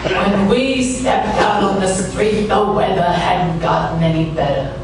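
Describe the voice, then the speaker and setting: A man's voice: high-pitched, unhurried, far from the mic. A man playing a hard-boiled detective who narrates his own case wryly, in noir radio style. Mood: wry, moody. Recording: echoey room, music behind.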